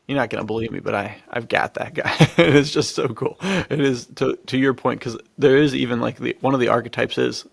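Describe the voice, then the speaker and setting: A man's voice: medium pitch, brisk, creaky, few pauses, husky, no echo, clean studio sound. A man co-hosting a podcast, chatting casually and enthusiastically in slang as he retells scenes. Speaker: podcast co-host.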